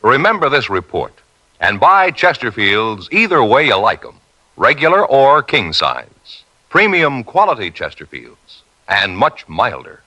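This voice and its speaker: A man's voice: medium pitch, very animated, unhurried, pushed and bright, poor audio. A man reading a 1950s radio commercial as a formal, emphatic announcer. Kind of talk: 1950s radio advertising read. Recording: 1950s radio broadcast recording.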